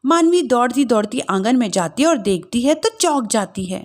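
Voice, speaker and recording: A woman's voice: a little high, lively intonation, normal speed. A woman storyteller giving scripted narration of a family moral tale.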